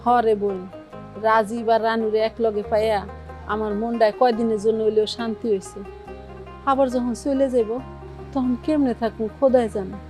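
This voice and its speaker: A woman's voice: on the high side, steady tone, moderate pace, dark tone, soft background music, close to an everyday voice. An actress playing a careworn, affectionate middle-aged widowed mother in a drama, speaking plaintively with a rural Bangladeshi accent. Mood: wistful. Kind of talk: TV drama acting in village dialect.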